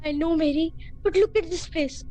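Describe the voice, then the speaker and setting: A woman's voice: extremely high pitch, normal speed, poor audio. A woman playing a nervous, imaginative young boy in a student radio play, apprehensive and pleading. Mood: uneasy. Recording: loud music over the voice.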